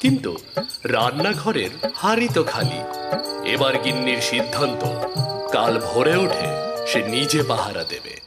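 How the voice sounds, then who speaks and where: Storytelling man over loud music. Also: medium pitch, sing-song, moderate pace, big-room sound. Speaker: narrator. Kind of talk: scripted cartoon narration.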